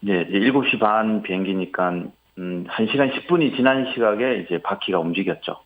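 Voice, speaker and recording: A man's voice: fairly low pitch, a little fast. A man giving a spontaneous, polite account as an interviewee over the phone, with somewhat hesitant phrasing. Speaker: interviewee, airline passenger. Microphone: phone call. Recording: muffled, band-limited phone connection.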